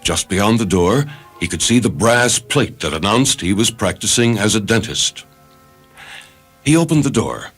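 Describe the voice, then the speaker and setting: Low-voiced, expressive male story narrator. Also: a little slow, slightly rough. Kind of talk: dramatic scripted story narration. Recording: faint music behind the narration.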